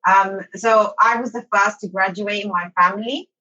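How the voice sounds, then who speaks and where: A woman's voice: medium pitch, steady tone, normal speed, pushed and bright, muffled recording. A woman from Somalia, an English teacher, telling her life story as an interview guest, hesitant with many ums and restarts.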